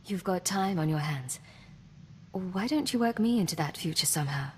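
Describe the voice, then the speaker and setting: A lowish, reverberant voice of a wry, self-possessed female secret agent played by a woman. It is video game dialogue with some noise behind it. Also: animated, normal speed, natural voice. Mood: playful. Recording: long reverb, noisy game ambience.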